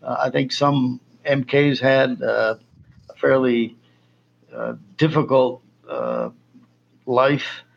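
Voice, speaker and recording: A man from Colombia, a missionary guest, answering interview questions in a halting way with many fillers. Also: medium pitch, lively intonation, gravelly, highs cut off.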